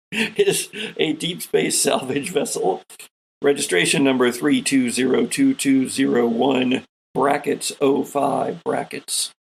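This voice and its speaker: A man narrates a tabletop sci-fi game at an unhurried pace. His voice is heavily creaky and husky, and he describes things deliberately, pausing as he goes. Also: medium pitch, rough recording. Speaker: game master.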